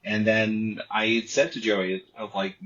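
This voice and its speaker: A man speaks in a lowish voice, telling the story behind his comic in a casual interview. He stalls on repeated words before he gets going. The sound is muffled and lo-fi, like a remote call. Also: slow, a little hiss or hum. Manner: repetitive restarts, animated storytelling. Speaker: comic artist guest.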